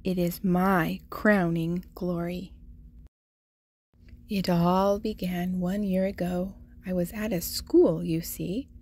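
A woman hosting a children's read-aloud, telling a picture-book story in an expressive, deliberate way for young listeners. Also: lowish voice, breathy edge. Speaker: host reading picture books aloud for children.

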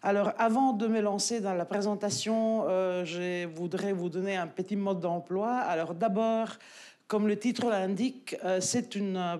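A woman's voice: medium pitch, darkish tone. A woman in her forties with an Italian-Belgian French accent, giving a conference talk into a handheld mic in a lecture hall, hesitant with many fillers and restarts. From Italy. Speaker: academic speaker.

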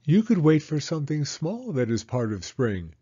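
Man as a gentle, higher-pitched mother mouse. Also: very animated, moderate pace, husky, dull audio. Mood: patient, suggesting. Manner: patient, explaining simply. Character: patient, gentle mother mouse reassuring her child.

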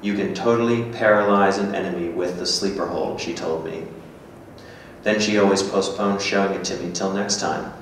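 Guy reading the part of a low brooding guarded man, staged reading, very echoey and kinda far off. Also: fairly even intonation, moderate pace, lightly acted. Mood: reminiscing. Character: brooding, guarded, melancholy man who reminisces. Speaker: performer reading a script. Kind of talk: staged reading of a literary dialogue. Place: small gallery room before an audience. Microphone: stand microphone, heard from a distant camera. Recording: echoey room, distant mic, faint noise.

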